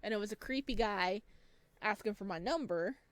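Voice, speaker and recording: A woman with a medium-pitched voice hosts a gaming video in a dry, close recording. She reads story text aloud and slips into chatty, expressive asides to her viewers. Her manner is bubbly and informal. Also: moderate pace, no room sound. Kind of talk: let's play, reading game text aloud with chatty reactions.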